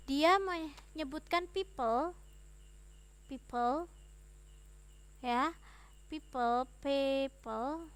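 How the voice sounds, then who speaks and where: A woman's voice: sits high, fairly bright tone. A woman with an Indonesian accent teaching English informally to students, mixing English terms into her explanations and often checking in with small tags. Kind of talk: informal online lesson, explaining and addressing students.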